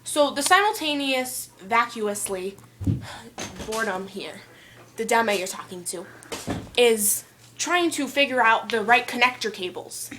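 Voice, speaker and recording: A young woman rambles through a prank call, stringing made-up technical words into nonsense. Her voice is bright and a little high, heard on a speakerphone in a small bedroom with a faint hiss behind her. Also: animated, measured pace. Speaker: prank caller. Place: bedroom, indoors. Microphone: phone on speaker held in hand.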